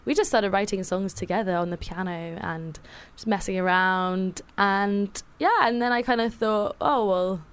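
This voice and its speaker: A young woman with an English accent talks casually and easily, full of fillers and little laughs. Her voice sits at a middle pitch. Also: moderate pace, few pauses, smooth. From England. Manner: chatty, laughing, lots of fillers. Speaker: musician guest. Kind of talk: casual chat.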